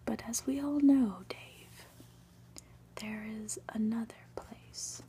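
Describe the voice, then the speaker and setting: Woman whispering as an ominous, slow-talking professor. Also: fairly high pitch, steady tone, dark tone, slight background noise. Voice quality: breathy whisper. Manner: portentous, lecturing, drawn-out dramatic pauses. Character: male professor, ominous, pompous, fond of grand theories.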